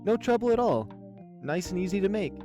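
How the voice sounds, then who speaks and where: Male reader as friendly young visual-novel bartender, playful and accommodating, lifted pitch, clear music underneath. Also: animated, brisk. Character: friendly, playful, obliging young man tending the bar.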